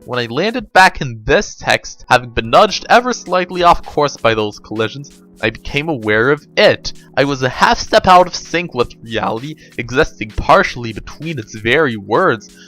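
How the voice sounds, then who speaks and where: A man's voice: mid-range voice, animated, normal speed, few pauses, sharp tone, soft background music. A man voicing a smug, foul-mouthed girl with a taunting, sarcastic manner in a story reading. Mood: smug explaining. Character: smug, triumphant, foul-mouthed girl who outwits others.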